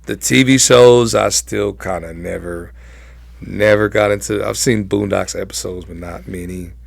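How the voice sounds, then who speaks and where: A man's voice: on the low side, steady tone, unhurried, gravelly, dry recording. A young American man telling personal stories casually as an interview guest, in informal, slangy speech. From Southern California, United States. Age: young adult.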